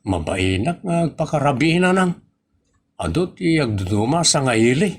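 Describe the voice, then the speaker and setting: A man's voice: mid-range voice, big pitch swings, normal speed. A man playing a painfully bashful, whining grown child in a comedy audio drama. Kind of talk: Ilocano comedy radio-style drama acting. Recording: noticeable background noise.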